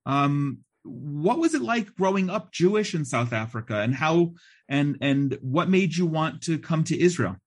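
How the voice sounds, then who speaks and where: A man's voice: fairly high pitch, animated, unhurried. A man hosting an interview, asking questions in a hesitant way and often stumbling over his words. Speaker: interviewer host.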